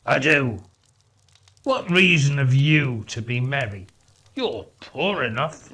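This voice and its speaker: Man voicing a loud, grating old miser. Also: medium pitch, lively intonation, takes it slowly, slightly rough, heavily acted. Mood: grumpy, scornful. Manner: stern, indignant, sarcastic. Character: stingy, grumpy, heartless old miser. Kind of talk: storybook character reading.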